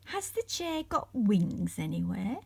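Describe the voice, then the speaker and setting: A woman's voice: mid-range voice, sing-song, slow pace, gravelly, warm tone, breathy edge, dry recording, full character voice. A woman reading the part of an earnest young boy in a children's storybook. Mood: excited.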